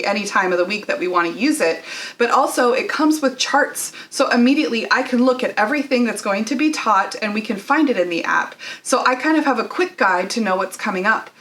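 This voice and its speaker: A woman's voice: a little high, lively intonation, brisk, a bit bright. Conversational woman giving a casual review. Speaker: homeschooling mom giving a product testimonial. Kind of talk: casual direct-to-camera product review.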